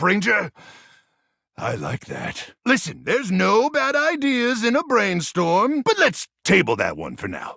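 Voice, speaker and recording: A cocky, goofy wasteland soldier from a video game, with a forceful, gravelly voice. He delivers everything like a dramatic mission briefing, swooping up and down with macho bravado and dropping into deadpan asides. The sound is close and dry. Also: high-pitched, no echo, heavily acted. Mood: amused, then threatening. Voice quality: gravelly, raspy. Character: gung-ho, cocky, goofy male post-apocalyptic soldier, bossy and macho. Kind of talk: exaggerated video game character acting.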